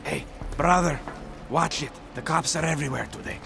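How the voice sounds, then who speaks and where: A man's voice: a little high, moderate pace, vocal fry, over music. An actor playing a wary, anxious man on the run in a video game. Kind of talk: video game cutscene acting.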